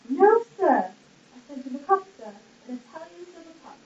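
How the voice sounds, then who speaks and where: A woman's voice: sits high, big pitch swings, moderate pace, darkish tone. A woman playing a timid, deferential provincial woman giving testimony in an amateur puppet-show stage play. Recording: echoey, muffled, distant lo-fi recording.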